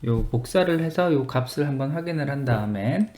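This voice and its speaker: A software tutorial presenter explaining a screencast step by step, methodically thinking aloud while working. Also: medium pitch, animated, brisk, a little hiss or hum.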